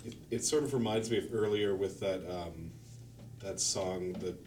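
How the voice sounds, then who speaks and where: Deep-voiced man, a little off mic. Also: normal speed, darkish tone, faint music behind. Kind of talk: casual chat. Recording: distant mic, people audible behind.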